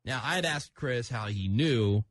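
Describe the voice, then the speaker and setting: A man's voice: medium pitch, lively intonation, measured pace, no echo. A man hosting a conversational live radio show, talking informally to listeners and a caller.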